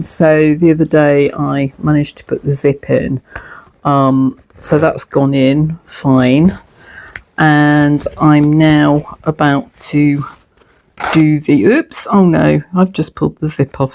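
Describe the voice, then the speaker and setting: A woman's voice: sits low, vocal fry, darkish tone. A middle-aged woman in her home sewing room, chatting casually through a sewing tip, a little throaty from a cold, with plenty of ums. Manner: chatty, self-deprecating, frequent ums. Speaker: sewing vlogger. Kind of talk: casual sewing tutorial and chat.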